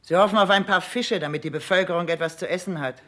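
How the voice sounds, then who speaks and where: An actress playing a plain-spoken, overworked palace maid who grumbles matter-of-factly in a 1960s radio play. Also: female voice, lowish voice, fast talker, fairly bright tone, lightly acted. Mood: matter-of-fact, amused.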